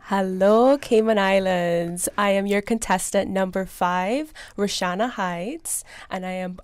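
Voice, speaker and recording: Woman in her twenties, unhurried pace, bubbly, proud self-introduction. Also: medium pitch, clear, resonant tone, no room sound. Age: 20s. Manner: bubbly, proud, slightly giggly. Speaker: pageant contestant.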